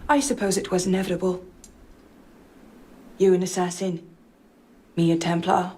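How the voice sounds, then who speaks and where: A woman's voice: on the low side, flat delivery, moderate pace, darkish tone, lightly acted. A woman acting as a grieving young noblewoman set on vengeance in a video game. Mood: bitter.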